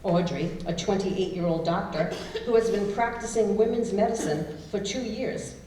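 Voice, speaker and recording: A woman's voice: medium pitch, normal speed, dark tone. A woman narrating a staged reading from a script, reading out stage directions. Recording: very echoey room, distant, faint noise.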